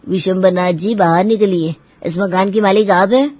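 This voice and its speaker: A woman's voice: medium pitch, steady tone, normal speed, dry recording, dull audio, natural voice. A woman playing a stern, scolding male government official handing out fines in a cartoon story. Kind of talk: Hindi moral story cartoon acting.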